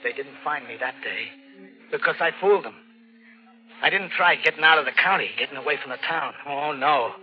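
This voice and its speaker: An American actor playing a desperate hunted drifter turned killer in a 1940s radio thriller, slangy and intense. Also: male voice, a little high, brisk, lightly acted. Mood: sly, grim. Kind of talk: 1940s radio thriller acting, first-person narration. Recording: dull, very noisy old radio recording.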